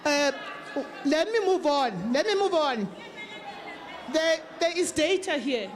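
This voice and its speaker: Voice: sits high, big pitch swings, slow. A political representative with a South African accent speaking emphatically and combatively in a public debate. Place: large public town hall event. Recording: crowd noise at live event.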